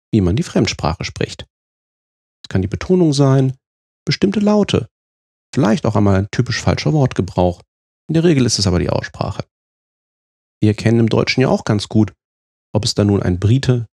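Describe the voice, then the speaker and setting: Male presenter from Germany, gravelly low voice, husky edge, measured scripted delivery. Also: a little mellow, no room sound, studio quality. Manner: measured, explaining step by step. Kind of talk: scripted explanatory narration.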